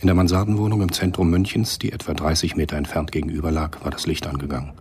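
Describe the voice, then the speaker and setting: Very deep-voiced male narrator. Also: flat delivery, fast, a little mellow, slight background noise. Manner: sober, matter-of-fact. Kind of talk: scripted radio drama narration.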